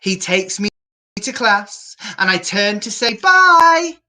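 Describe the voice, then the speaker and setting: Bright-voiced, slow-paced woman storyteller. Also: mid-range voice. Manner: animated, encouraging, theatrical, with gasps. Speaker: children's storyteller. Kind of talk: expressive picture-book reading aloud to children.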